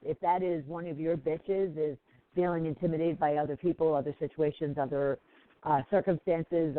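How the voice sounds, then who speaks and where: A woman's voice: low-pitched, no echo. Sick woman hosting on a phone line. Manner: rambling, with frequent ums and restarts. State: sick, slightly congested. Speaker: talk radio host. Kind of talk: casual call-in radio talk. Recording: tinny dry phone line.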